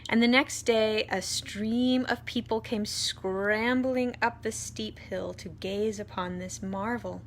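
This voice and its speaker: A woman reading a children's story aloud expressively, deliberate in manner, with dramatic pauses. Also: fairly high pitch, steady tone, a little hiss or hum. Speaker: storytime reader, priest.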